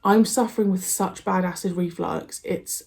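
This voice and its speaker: A woman with a croaky, gravelly voice chats to her viewers. She rambles in a relaxed, casual way before a reaction. Her voice sits a touch low. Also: moderate pace. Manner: chatty and rambling. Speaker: reaction channel host.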